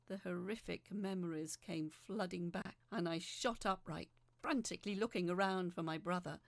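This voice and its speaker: A woman's voice: lowish voice, normal speed, vocal fry, no room sound. A young woman performing a dramatic first-person horror narration in an emotive, suspenseful way.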